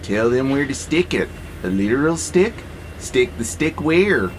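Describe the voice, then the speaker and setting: A dutiful, pedantic warehouse robot voiced by a man in an audio drama. The male voice sits at a medium pitch and takes everything at face value, matter-of-factly. A clear hum of noise lies underneath. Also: measured pace. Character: obedient, literal-minded, pedantic male freight robot. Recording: clear steady background noise, vehicle-like hum.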